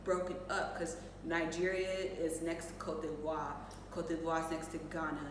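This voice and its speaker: A woman with a fairly low voice speaks at some distance in a heavily echoing space, with noise clearly audible. She co-hosts casually, chiming in to affirm and expand on what is said. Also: level tone, normal speed. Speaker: show co-host. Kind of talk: casual conversational chat. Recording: echoey hall, distant mic, clear noise.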